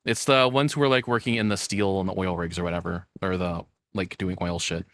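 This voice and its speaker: A man's voice: sits low, steady tone, fairly quick, a bit bright. A man giving blunt, opinionated, off-the-cuff commentary as a streamer, with casual swearing. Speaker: streamer reacting to a video.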